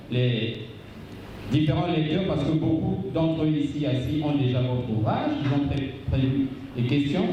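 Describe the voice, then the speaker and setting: A Congolese man moderates a book launch, speaking in a Congolese French accent. His mellow voice is fairly high, and he asks slow, ornate questions. He is far from the mic in a very echoey hall with faint ambience. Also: a little hiss or hum. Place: hall with a seated audience. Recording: roomy echo from far off.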